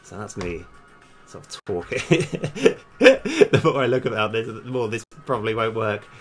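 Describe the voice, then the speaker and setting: Low-voiced man thinking aloud while coding. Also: very animated, measured pace. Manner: muttering code terms to himself in fragments. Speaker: game developer live-streaming his coding. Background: background music, computer keyboard typing.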